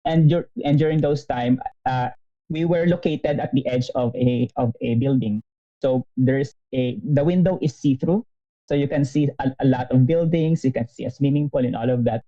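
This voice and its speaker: A man in his thirties tells his story as a podcast guest, with a very dark, mellow voice. He talks animatedly, laughing often and restarting his sentences. The audio sounds dull and muffled. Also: medium pitch, moderate pace. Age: 30s. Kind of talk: casual interview storytelling.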